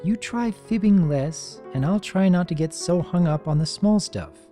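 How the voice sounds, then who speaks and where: A storyteller voicing a caring female pony, gentle, teasing and motherly, with a coaxing sing-song manner. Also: medium pitch, animated, normal speed, soft background music, voice pushed up, lightly acted. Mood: tender.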